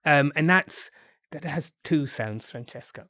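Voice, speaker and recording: A man hosting an English pronunciation lesson, modelling sounds slowly and carefully. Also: on the high side, sharp tone, no echo, studio quality. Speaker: English teacher and host. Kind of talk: friendly language lesson.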